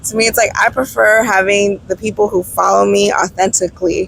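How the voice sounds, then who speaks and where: A woman's voice: medium pitch, fast talker, creaky, slight background noise, rough recording. A woman whose first language is Spanish, a guest telling enthusiastic stories in a casual interview chat. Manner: lively, enthusiastic storyteller. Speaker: guest, travel business founder. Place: outdoors on a beach.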